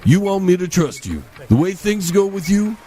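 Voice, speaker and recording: A man's voice: high voice, very animated, moderate pace, warm tone. An actor playing a grumbling, exasperated male sidekick who bickers and blames in a comedy. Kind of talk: comic bickering.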